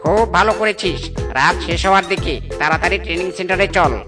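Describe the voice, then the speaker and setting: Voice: medium pitch, fairly quick, natural voice. An actor voicing a bossy, scheming male cartoon animal thief in a sly, exaggerated way. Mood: bossy, gleeful. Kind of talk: comedy cartoon voice acting. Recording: music behind the voice.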